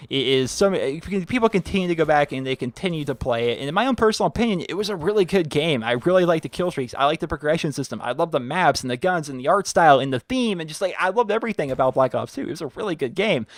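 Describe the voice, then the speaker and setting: Young man, fast-talking, slightly high voice, dry close mic, hoarse, rambling with fillers. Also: expressive, dry recording. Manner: rambling, filler-heavy, "like" after every few words. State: losing his voice. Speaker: YouTube gaming channel host. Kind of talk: casual chat answering viewer questions.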